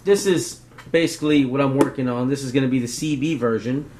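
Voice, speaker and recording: A man's voice: medium pitch, a little fast, a little mellow, slight background noise. Casual man demonstrating gear to viewers. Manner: conversational, often tagging points with "right?" and "you know". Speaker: video host demonstrating radio gear. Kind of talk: casual unscripted product demonstration, advice.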